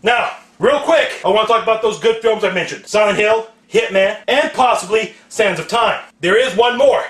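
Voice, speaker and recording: A man's voice: high-pitched, normal speed, hardly any pauses, fairly bright tone. A man hosting a video rant, swearing heavily and speaking with emphatic, exasperated anger. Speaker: host of a video rant segment. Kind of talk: profane angry film rant. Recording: echoey room.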